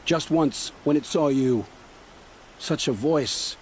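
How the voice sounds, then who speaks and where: An actor playing a frightened, world-weary scrounger turned informant in a video game drama, speaking in halting, grim, folksy storytelling phrases. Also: male voice, on the high side, a little mellow, slight background noise, poor audio. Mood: haunted dread.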